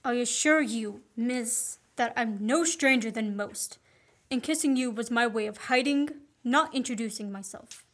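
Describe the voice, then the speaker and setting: A woman's voice: a little high, a little slow, natural voice. A woman acting an earnest young man on the run in a radio play. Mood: urgent, pleading. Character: Richard Hannay, earnest young man, innocent fugitive. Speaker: student actor.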